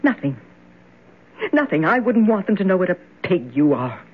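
A woman playing a genteel, spirited young lady, uneasy but firm, in expressive old-time radio drama style. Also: lowish voice, moderate pace, slightly rough. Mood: angry, frightened. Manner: expressive, theatrical. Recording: muffled lo-fi old radio broadcast.

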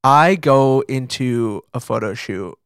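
A young American man with a medium-pitched voice speaks as a podcast co-host. His delivery is casual and confessional, moving haltingly as he thinks aloud. The recording is dry, without room sound. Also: animated, clear, resonant tone, no room sound.

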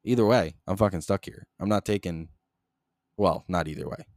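A young man hosting a solo podcast, rambling in a flat monotone, swearing constantly and jumping between topics, close to the mic. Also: low-pitched, moderate pace, dry recording. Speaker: podcast host.

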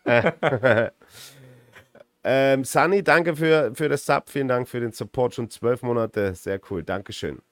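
A man hosting a casual sports chat, speaking informally and a little haltingly. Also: medium pitch, no room sound. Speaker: host of a sports talk show. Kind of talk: casual interview chat with live viewers.

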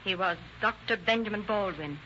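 A woman's voice: medium pitch, normal speed, bright, forceful tone, close to an everyday voice. An actress playing a distraught but steely woman who confesses to a killing, in old-time radio drama style. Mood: grimly composed. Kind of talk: 1930s-40s radio drama acting. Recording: muffled old radio recording, faint noise.

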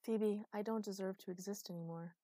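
A woman's voice: mid-range voice, steady tone, brisk, dark tone, no echo, clean studio sound, natural voice. A woman voicing a despairing, self-loathing male lover in a fantasy audiobook, apologetic and pleading. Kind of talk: audiobook character acting.

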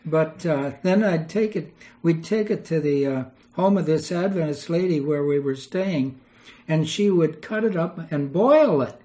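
A man's voice: a little high, a little slow, mellow. An older man, a retired missionary, telling rambling anecdotes in an interview and chuckling as he goes. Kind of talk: storytelling interview.

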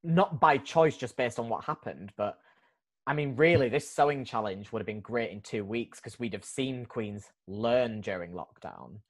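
A man hosting a casual podcast chat, animated and eager, laughing often as he talks. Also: medium pitch, moderate pace. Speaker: podcast host.

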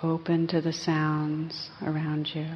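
A woman teaching a guided meditation in a gentle, unhurried way, pausing between phrases. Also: low-pitched, monotone. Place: live evening group session. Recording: slightly muffled live recording, faint hiss.

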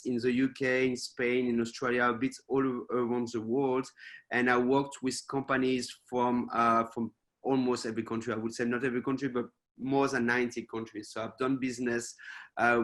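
A man with a French accent, a guest speaker on a webinar over a video call, halting with many fillers and restarts. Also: mid-range voice, a bit bright. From France. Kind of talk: presenting to a webinar.

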